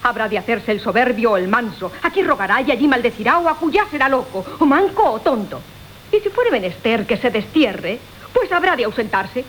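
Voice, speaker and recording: A woman's voice: fairly high pitch, big pitch swings, a little fast, rough recording. An actress playing a crafty, demanding young kept woman in a classical comedy radio play, declamatory and mock-formal. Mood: brisk scheming. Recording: hissy old radio recording.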